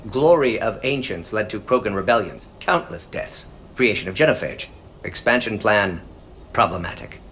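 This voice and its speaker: An actor playing a brisk, clinical alien scientist in a video game, speaking in clipped fragments that drop the small words. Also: male voice, lowish voice, lively intonation, fairly bright tone, a little hiss or hum. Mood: brisk, analytical. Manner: clipped telegraphic phrasing, drops small words.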